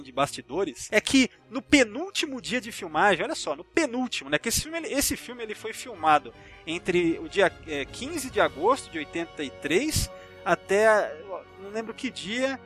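A Brazilian man with a very lively, high voice hosts a casual film discussion. He rambles in slangy, sweary speech with a bright, forward tone. A little music plays behind him. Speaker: podcast host. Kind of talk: casual film discussion and anecdotes among friends.

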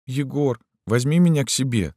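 A man voicing a vulnerable young girl in an audiobook, soft, plaintive and pleading. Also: mid-range voice, animated, moderate pace, no echo, clean studio sound, voice pushed up, close to an everyday voice. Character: vulnerable, plaintive girl, frightened yet defiant.

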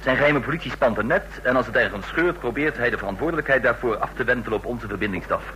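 An actor in an old radio play, playing a needling, scornful intelligence official with a curt, insinuating manner. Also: male voice, mid-range voice, quick pace, pushed and bright, natural voice. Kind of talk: mid-century radio play acting. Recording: noisy, humming old radio recording.

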